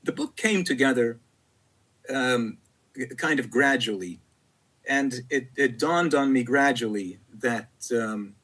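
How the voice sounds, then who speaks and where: A man, a poet whose first language is Italian, speaking reflectively in an interview with frequent pauses, fillers and repeated words. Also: mid-range voice, fairly bright tone.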